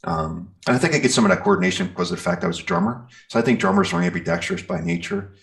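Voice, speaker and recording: A man's voice: medium pitch, big pitch swings, racing, vocal fry, a bit bright. A middle-aged American man chatting casually in an interview as a musician, rambling a little and searching for words.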